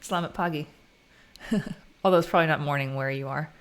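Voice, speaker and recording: A woman's voice: sits low, fairly quick. An American woman live streaming as she codes, chatting informally and thinking aloud in a casual way. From United States. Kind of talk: casual live stream chat while coding.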